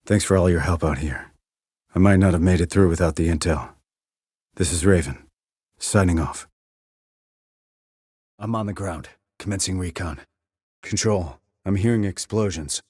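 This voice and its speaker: An actor playing a terse, cool-headed sniper operative in a video game, with clipped, controlled military delivery and a low husky voice. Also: male voice, normal speed, long pauses, darkish tone, no echo, clean studio sound. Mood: focused, controlled. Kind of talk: military radio chatter, game dialogue.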